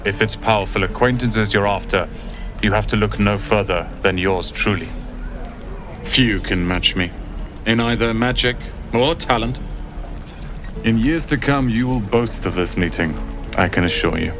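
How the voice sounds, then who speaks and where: An actor playing a pompous, boastful wizard's apprentice in a video game, self-important and haughty. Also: male voice, fairly low pitch, normal speed, over music, lightly acted. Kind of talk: video game character acting.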